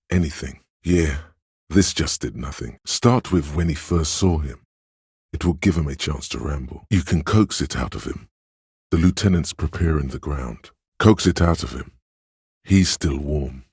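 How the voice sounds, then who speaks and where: Very deep, husky, sly inner voice. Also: male voice, a little mellow, no room sound, studio quality, exaggerated voice. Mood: urging, conspiratorial. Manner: deliberate, insinuating, theatrical. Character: sly, coaxing inner voice of a detective's mind. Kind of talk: scripted game voice-over narration.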